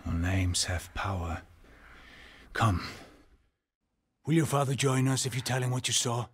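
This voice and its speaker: A man playing a weary, haunted Norse settlement leader in a video game, speaking gravely and deliberately in a husky, breathy voice. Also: on the low side, no room sound, clean studio sound, heavily acted. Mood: earnest, guarded. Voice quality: husky, breathy, often near a whisper. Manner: slow, deliberate, grave, with pauses. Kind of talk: dramatic video game acting.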